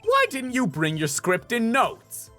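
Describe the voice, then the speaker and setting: A man voicing an earnest, excitable young male defense lawyer in a video game, emphatic and challenging, with music behind. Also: very high voice, unhurried, pitched above the performer's own voice, heavily acted.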